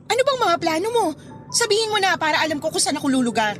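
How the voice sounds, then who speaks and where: A woman's voice: very high-pitched, sing-song, fast talker, a bit bright, faint music behind, heavily acted. A woman playing a hurt but forgiving young girlfriend in a Tagalog radio drama, speaking earnestly and reproachfully. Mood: firm, serious.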